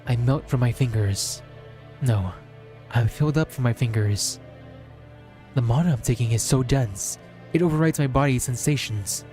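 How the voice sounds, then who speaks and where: A man's voice: medium pitch, normal speed, darkish tone. Man solemnly narrating over music. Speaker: streamer reading a visual novel aloud. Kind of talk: dramatic scripted narration, reading aloud. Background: background music.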